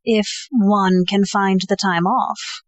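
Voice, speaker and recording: A woman's voice: mid-range voice, fairly even intonation, slow, no echo, close to an everyday voice. A woman playing a genteel, coy and self-possessed lady in a comedic audio play. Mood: flirtatious.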